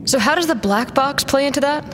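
A woman's voice: high-pitched, brisk, big-room sound, noisy background, close to an everyday voice. A woman playing a blunt, skeptical squad member in an audio drama, with a questioning manner.

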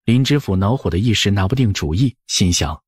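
A man's voice: lowish voice, expressive, fairly quick, breathy edge, no echo, studio quality. A man narrating an audiobook in a scripted storytelling style.

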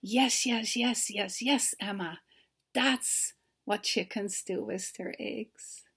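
A woman's voice: mid-range voice, slow pace, a bit bright, breathy edge, exaggerated voice. A woman voicing a male farmer from a children's storybook, repeating words with emphasis. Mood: approving.

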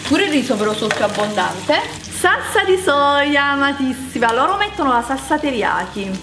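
A woman's voice: high voice, big pitch swings, normal speed, background noise. A woman in her thirties hosting a casual cooking vlog in her home kitchen, chatty and enthusiastic, repeating words for emphasis. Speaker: cooking channel host. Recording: echoey kitchen with music behind.

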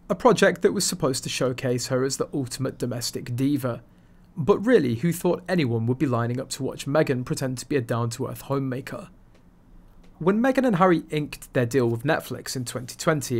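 A man reading a scripted voice-over for a gossip channel in a snide, mocking tone. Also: mid-range voice.

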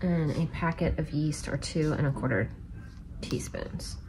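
Low-voiced mom talking thru her cooking, kinda rambly, noisy kitchen. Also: unhurried. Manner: chatty, talks while cooking, thinking aloud. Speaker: homemaking vlogger. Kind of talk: casual kitchen vlog narration. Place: home kitchen, indoors. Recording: noisy kitchen with clicking sounds.

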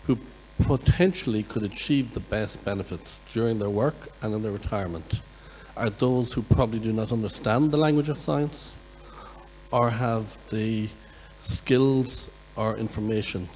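A man from Ireland asking a question from the floor at a conference, in measured, deliberate phrasing. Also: lowish voice, darkish tone, big-room sound, a little hiss or hum, poor audio. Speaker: audience questioner.

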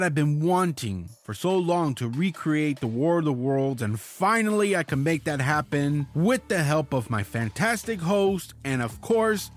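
Man, podcast host, very lively intonation, informal, enthusiastic introduction, faint music behind. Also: a little high. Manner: informal, chatty. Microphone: recorded at home, voice-over.

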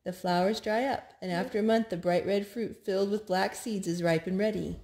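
A woman in her thirties reading picture books aloud to young children at home, in an animated, child-directed way with drawn-out words. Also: medium pitch. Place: living room at home, indoors. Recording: home recording with background noise.

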